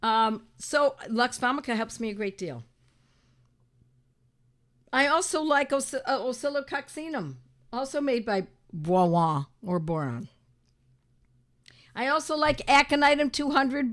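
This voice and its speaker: An older woman with a raspy voice from a cold, teaching homeopathy in an animated, emphatic casual talk, often repeating her points. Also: high-pitched, measured pace, pauses often. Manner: animated and emphatic, repeats phrases, talks to viewers as friends. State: hoarse from a cold. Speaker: homeopathy teacher hosting her own channel.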